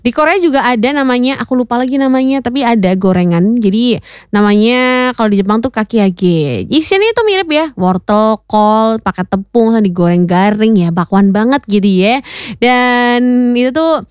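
A woman's voice: a little high, lively intonation, moderate pace, clear, resonant tone, dry recording, studio quality. A woman presenting casually as a radio host, chatting to listeners with frequent tag questions.

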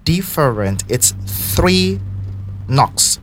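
A man whose first language is Indonesian, co-hosting a podcast in English as casual chat. He is animated, laughs often and acts out little dialogues. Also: fairly high pitch, drawn-out words, noisy background. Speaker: podcast co-host.

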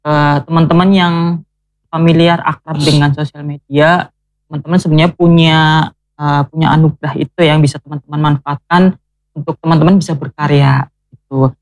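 A man's voice: on the high side, little pitch movement, moderate pace, no room sound. Hesitant male guest, dry studio. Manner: hesitant, many fillers. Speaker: cultural guest. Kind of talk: studio talk-show discussion. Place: radio studio.

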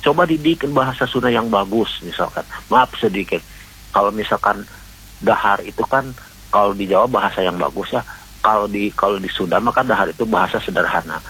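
A man calling in to a radio programme, giving earnest, rambling opinions in a halting, repetitive way. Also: on the low side, bright, forceful tone, slightly rough, poor audio. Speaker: radio call-in listener.

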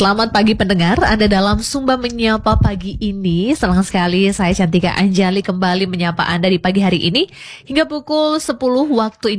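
A woman hosting a live radio talk show, chatty and effusive, with exclamations and giggles. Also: medium pitch. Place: radio studio.

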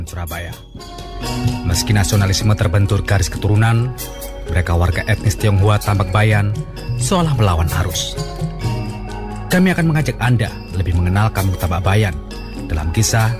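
A man with a very deep voice narrates a radio documentary in a measured, formal way. Loud music runs under his scripted lines.

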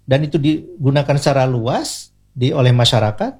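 A man's voice: mid-range voice, moderate pace, a little mellow, husky. A man with an Indonesian accent speaking as an expert guest in an interview, explaining things in a didactic way, point by point, with short tag words. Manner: didactic, enumerating points, often adds short tag particles.

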